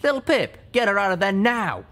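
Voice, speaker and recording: Guy doing a loud pegasus stallion, short fuse, storybook pony. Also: high-pitched, huge pitch swings, measured pace, slightly rough, pitched above the performer's own voice, heavily acted. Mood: angry. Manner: loud and blustering. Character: gruff male pegasus pony, hot-tempered.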